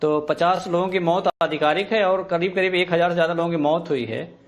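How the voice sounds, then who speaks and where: High pitched guy, party spokesman arguing on a tv panel, keeps repeating and pushing his point over others. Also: a little fast. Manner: insistent, repetitive, talks over others. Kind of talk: heated television debate argument.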